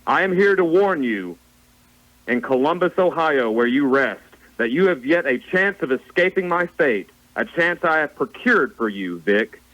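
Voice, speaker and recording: A man's voice: a little high, expressive, measured pace, a bit bright, dull audio. A man playing the mournful ghost of a dead business partner in a radio play, solemn and declamatory. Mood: solemn warning. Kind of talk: theatrical radio play acting.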